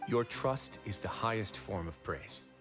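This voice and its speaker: An actor playing a calm, generous overseer of a prison fortress in a video game, speaking in a measured, formal way. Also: male voice, on the low side, loud music behind the voice. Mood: calm, gracious.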